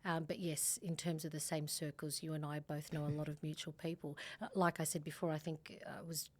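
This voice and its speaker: A woman's voice: low-pitched, level tone, a little fast. A middle-aged Australian woman from Sydney, a family law partner, talking casually in a podcast interview with frequent fillers and restarts. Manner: warm, chatty, many fillers and restarts.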